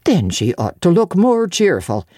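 A woman voicing a brisk, skeptical but good-natured male apothecary in a storybook reading, questioning and gruffly teasing. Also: fairly low pitch, very animated, dark tone, no echo, clean studio sound, pitched above the performer's own voice, full character voice. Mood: brisk, kindly.

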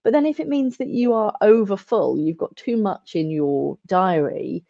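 A woman's voice: medium pitch, moderate pace, creaky, dark tone, no echo, lo-fi sound. A woman hosting a podcast interview, speaking in an encouraging and affirming way. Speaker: podcast host.

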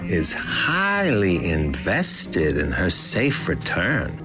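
Menacing 30s gangster boss, lowish, pitch jumps all over, radio drama. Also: male voice, wildly swooping pitch, music in the background, exaggerated voice. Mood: cold, sneering. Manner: deliberate, sneering, commanding. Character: menacing, calculating male 1930s gangster boss. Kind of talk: period crime audio drama.

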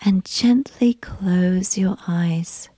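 A woman leads a guided sleep meditation in a soft, breathy voice that often sits close to a whisper. She speaks very slowly and gently, with a soothing, scripted calm. The sound is close and dry. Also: fairly low pitch, no echo. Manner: gentle, unhurried, soothing delivery.

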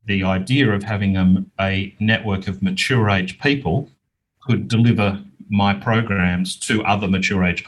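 A middle-aged Australian man explaining things in a measured, conversational way as an interview guest. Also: low voice, fairly bright tone, breathy edge, low-quality recording. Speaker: interview guest, business owner.

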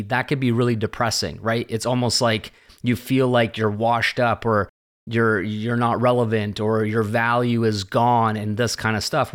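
A man in his late thirties giving a rambling, reflective solo monologue as a podcast host, often tagging his points with "right?". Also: on the low side. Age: late 30s. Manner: rambling and conversational, often tags "right?" and "this kind of thing".

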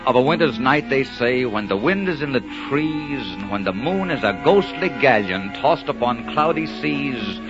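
A man's voice: medium pitch, lively intonation, lightly acted. A man performing as the poetic narrator of a tragic romantic ballad, reciting solemnly and theatrically in 1940s radio style. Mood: solemn, hushed. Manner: solemn, theatrical, drawn-out phrasing. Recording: muffled 1940s radio recording, loud music.

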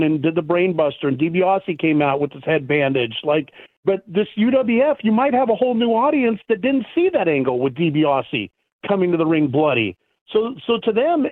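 A man's voice: high voice, animated, normal speed, dry recording. A male guest reminiscing enthusiastically in casual chat, with a slight tickle in his throat. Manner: enthusiastic, reminiscing, many "you know" fillers. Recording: tinny phone line, dry.

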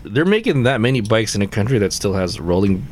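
A man's voice: on the low side, big pitch swings, fairly quick, darkish tone. A man in casual group banter, with a crude, profane sense of humour. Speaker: podcast regular. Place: garage with other people talking. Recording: noisy room around a group microphone.

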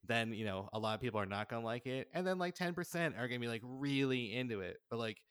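A man chatting casually as a podcast guest, in a colloquial, encouraging and animated way. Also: medium pitch, quick pace, clean tone, no echo, studio quality. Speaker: podcast guest, audio drama writer. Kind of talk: casual chat.

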